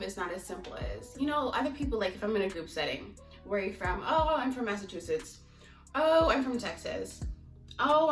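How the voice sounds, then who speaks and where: A young American woman giving a casual, candid vlog talk to her viewers, chatty and rambling as she goes. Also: mid-range voice. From United States (Maryland), teens in Mozambique. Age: young adult. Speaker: vlogger. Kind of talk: casual chat to camera. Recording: echoey room, distant mic, music behind.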